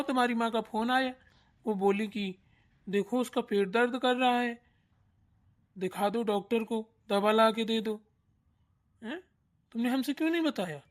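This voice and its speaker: A woman's voice: on the high side, a bit bright, lightly acted. A woman voicing a protective young husband and grown son in a family cartoon, firm and reproachful. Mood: concerned, insistent. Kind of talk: Hindi family cartoon acting.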